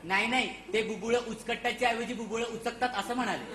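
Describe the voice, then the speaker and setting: On an echoey, noisy stage, a man plays a young captive enemy soldier with a very high voice. He is naive and stubborn, in comic theatre style. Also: fairly even intonation, quick pace, sharp tone. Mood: earnest. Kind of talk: stage comedy acting.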